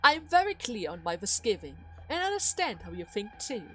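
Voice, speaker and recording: A woman's voice: fairly high pitch, big pitch swings, poor audio. Lady doing an older duke guy, cold manipulator, formal and talking down, game drama, faint music underneath. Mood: cold, needling. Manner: measured, formal, condescending. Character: scheming older male duke, coolly manipulative adoptive father. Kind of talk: dramatic game story voice acting.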